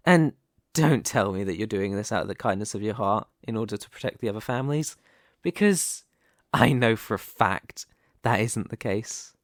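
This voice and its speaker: Casting: ambitious young mafia boss played by a man, mid-pitched, theatrical and possessive, measured pace, for an ASMR audio drama. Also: no room sound, clean studio sound, lightly acted. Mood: condescending. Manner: theatrical, deliberate, condescending, with pointed pauses. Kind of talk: ASMR roleplay, dramatic monologue to the listener.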